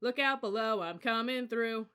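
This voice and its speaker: Young male cadet leader, acted out by a story reader: raised pitch, forceful, commanding, chanting and hollering orders in sing-song rhythm. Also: moderate pace, smooth, close to an everyday voice. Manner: chanting running cadences and barking orders.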